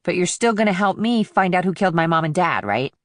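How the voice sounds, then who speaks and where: A defiant, streetwise teenage girl, acted by a woman in an audiobook thriller. Her voice sits on the low side and is recorded dry and close. She talks back with flippant sarcasm and keeps her guard up. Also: lively intonation, fast, no room sound, lightly acted. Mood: matter-of-fact. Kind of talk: audiobook character dialogue.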